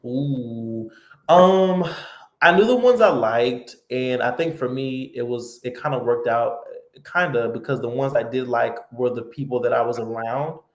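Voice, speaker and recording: A man hosting a casual live-stream chat, relaxed and talkative with lots of fillers. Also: medium pitch, a little slow, roomy sound. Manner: relaxed, chatty, many fillers. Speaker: host.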